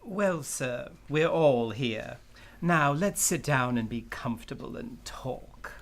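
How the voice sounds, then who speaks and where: An older gentleman villain of radio drama. His medium-pitched male voice has a creaky, gravelly grain. He speaks in ornate, formal courtesies with cunning underneath. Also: lively intonation, slow. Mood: suavely polite. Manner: florid, formal, elaborately polite. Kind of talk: old-time radio drama acting.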